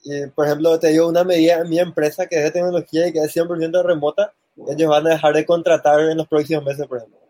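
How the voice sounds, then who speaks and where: A quick-talking man with a soft, dark timbre. He speaks casually as a panelist, in a dry, close sound. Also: a little high, little pitch movement, no echo. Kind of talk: casual chat, recounting experience.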